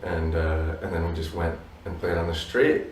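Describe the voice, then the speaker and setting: Brief: man, 30s, deep and even, reflective musician guest, roomy and off-mic. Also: moderate pace, a little hiss or hum. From abroad, Polish parents. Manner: reflective, many "you know" fillers. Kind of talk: relaxed interview answers. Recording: roomy echo from a distance.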